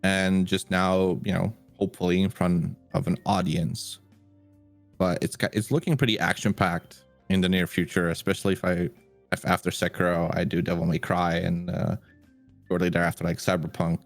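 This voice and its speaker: A man co-hosting a podcast in casual chat, measured and agreeable, laying out his points step by step. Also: low voice, little pitch movement, dry recording, soft background music. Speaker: podcast co-host.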